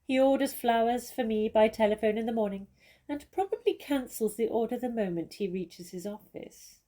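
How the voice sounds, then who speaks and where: A woman's voice: a little high, lively intonation, normal speed, warm tone, close to an everyday voice. A woman playing a worldly, witty famous actress in a stage comedy, with an arch, condescending and theatrical manner. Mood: wry, knowing.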